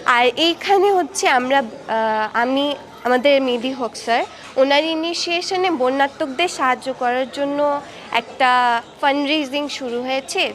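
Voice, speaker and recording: A young female artist explains things casually in an interview. She has a high voice and a Bangladeshi Bengali accent, with English words mixed in. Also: fairly bright tone.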